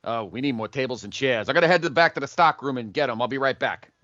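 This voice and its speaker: A man's voice: mid-range voice, quick pace, pushed and bright, dry recording, highs cut off, lightly acted. A man playing an upbeat, eager video store event organizer in a comedic audio play. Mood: busy, welcoming.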